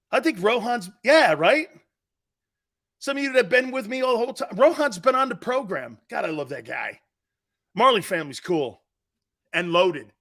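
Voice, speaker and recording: A man's voice: high-pitched, a bit bright. A middle-aged man hosting a live sports talk show, joking and rambling, laughing often as he talks. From Italian-American family.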